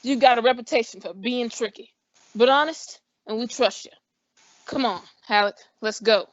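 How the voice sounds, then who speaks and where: A woman's voice: a little high, normal speed, close to an everyday voice. Young woman playing a shifty male crook. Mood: nervous. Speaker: high school drama student. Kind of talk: amateur radio play acting. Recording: dry muffled recording.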